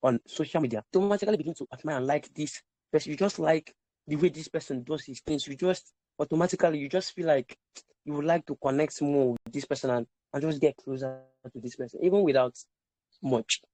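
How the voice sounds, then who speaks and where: A man's voice: fairly high pitch, fast, a little mellow, no echo, rough recording. A man coaching sales in a live online class, repeating points and checking in with listeners. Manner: repetitive, emphatic, checks in with listeners. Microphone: online call.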